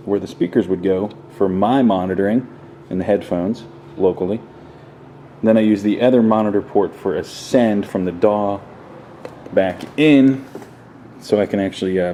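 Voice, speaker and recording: A man with a low-pitched voice talks through a gear explainer off the cuff. His phrasing is clipped and offhand, like an informal vlog. There is clear noise behind him in the recording. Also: warm tone. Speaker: vlogger showing a home podcast setup. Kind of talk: casual unscripted explainer walkthrough. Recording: clearly noisy room recording.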